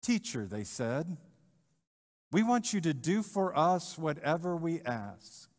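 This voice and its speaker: A middle-aged male pastor preaching a sermon in a church, animated, with rhetorical questions and repetition. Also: a little high. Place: church sanctuary, in front of the altar. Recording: church livestream with long reverb.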